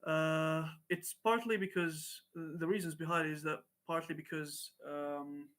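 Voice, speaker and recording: Male activist guest from Palestine with a higher voice, careful and halting in his answers. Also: fairly even intonation, fairly bright tone. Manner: halting, with frequent "uh" fillers. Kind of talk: interview answers.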